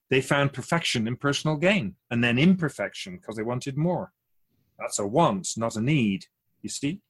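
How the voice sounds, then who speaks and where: A wise, otherworldly keeper of souls, acted by a man for a radio drama. The delivery is measured and instructive, with a gently chiding, wry edge. The pitch sits in a middle range. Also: close to an everyday voice. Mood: patient, instructive. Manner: measured, didactic, wryly teasing. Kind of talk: conversational radio drama acting.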